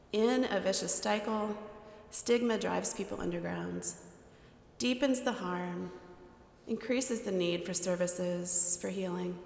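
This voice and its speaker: A middle-aged woman preaching a sermon from a pulpit on a headset mic, with a measured, deliberate cadence. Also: mid-range voice, creaky. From United States. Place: large church sanctuary. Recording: echoey church hall with long reverb.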